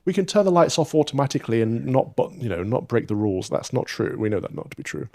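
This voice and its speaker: A man's voice: medium pitch, very animated, fast talker, creaky, a little mellow, no echo, clean studio sound. A man in a played podcast clip, arguing religious points emphatically and insistently. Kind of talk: religious discussion.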